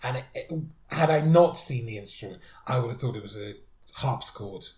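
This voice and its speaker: A man's voice: mid-range voice, lively intonation, fairly quick, darkish tone. A man co-hosting a podcast, chatting thoughtfully about songs, with frequent restarts. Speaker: podcast co-host. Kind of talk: casual chat reviewing songs. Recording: roomy echo.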